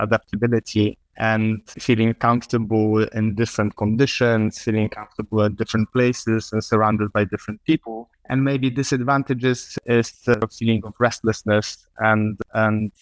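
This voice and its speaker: A middle-aged man from Poland answering interview questions in a reflective, measured way, pausing on fillers and restarts. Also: fairly low pitch, dry recording, poor audio. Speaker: guest artist. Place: remote call from abroad.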